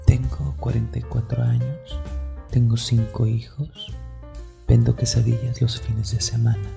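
A woman playing a deadpan middle-aged Mexican ASMR streamer in a comedy phone-in, with a creaky voice. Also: a bass voice, unhurried, music in the background, full character voice. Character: deadpan forty-four-year-old woman, self-styled ASMR streamer.

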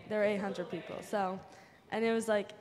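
A woman's voice: mid-range voice, fairly even intonation, brisk, darkish tone. Young woman chatting in a church hall. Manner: chatty, filler-heavy. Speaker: youth trip participant. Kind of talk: casual report. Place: large church sanctuary. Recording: reverberant hall, faint ambience.

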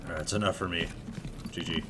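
A man's voice: low voice, fairly even intonation, fast talker. A man giving casual, offhand gameplay commentary, muttering and swearing as he plays. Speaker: video game streamer. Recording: loud game noise and clicking.

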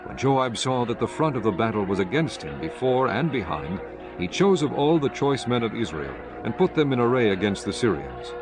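A man narrating scripture from a script in a measured, solemn manner. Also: mid-range voice, big pitch swings. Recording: music behind the voice.

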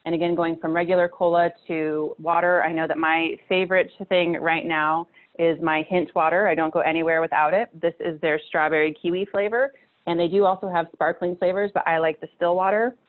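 A woman in her thirties with a low voice talks through nutrition slides in a relaxed, chatty way, full of fillers and restarts. She speaks into a webcam from a small room at home. Her audio comes through a narrow, tinny video call line. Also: fairly quick. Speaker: nutrition presenter. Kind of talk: casual slide-based nutrition talk.

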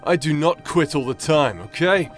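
A man's voice: fairly high pitch, expressive, normal speed, soft background music, close to an everyday voice. A man playing a cocky, crude, flirtatious knight in an anime-style audio roleplay, with a brash, teasing manner. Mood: exasperated. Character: cocky, vulgar, flirtatious bastard knight paladin, younger brother.

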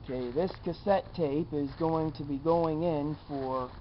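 Man, slightly high voice, unhurried, jokey vlog host, casual narration. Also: steady tone. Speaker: video show host. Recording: dull lo-fi camera audio, background noise.

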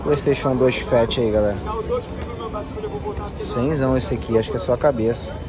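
A man with a Carioca accent vlogging from an outdoor flea market, talking excitedly in quick slangy bursts and exclaiming often. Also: mid-range voice. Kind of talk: casual handheld market vlog. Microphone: phone camera held in hand.

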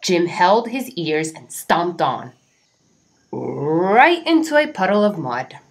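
A woman's voice: fairly low pitch, sing-song, slow. A woman reading a children's storybook aloud as narrator. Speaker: children's book reader.

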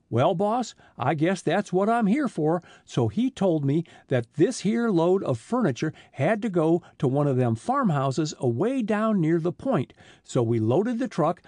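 A man voicing a slow-witted, long-winded middle-aged truck driver who talks in a plodding, folksy way. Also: fairly high pitch, very animated, a little mellow, no room sound, clean studio sound. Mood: rambling.

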